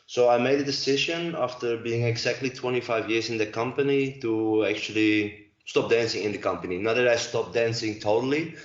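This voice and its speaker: A man's voice: mid-range voice, brisk, few pauses, roomy sound, highs cut off. A Dutch man in his forties, speaking English with a Dutch accent as a guest in a casual interview, hesitant and full of fillers. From Netherlands. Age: 40s. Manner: hesitant, many fillers and restarts. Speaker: guest, dancer and choreographer. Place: at home.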